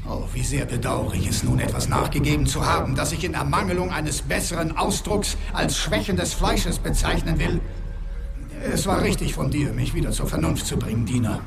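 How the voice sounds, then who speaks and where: A grandiose, self-important master, with a highish, gravelly creak and florid, formal phrasing. Loud music plays behind this radio drama voice. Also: male voice, animated, moderate pace. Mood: wounded indignation.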